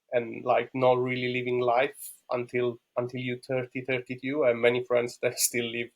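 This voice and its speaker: A man with an Italian accent talks as an interview guest, feeling his way through non-native phrasing. His voice is creaky and sits at an average pitch, with little melodic movement. He sounds thoughtful and conversational. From Rome, Italy. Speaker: podcast guest. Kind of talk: conversational interview answers about own life.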